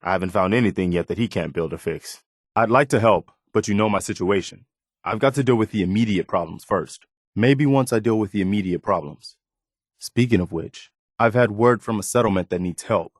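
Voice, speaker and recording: A man's voice: on the low side, dry recording, clean studio sound, natural voice. An American man voicing an earnest, loyal, plain-spoken militia officer in a post-apocalyptic video game. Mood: concerned. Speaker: video game companion character. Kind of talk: scripted video game dialogue.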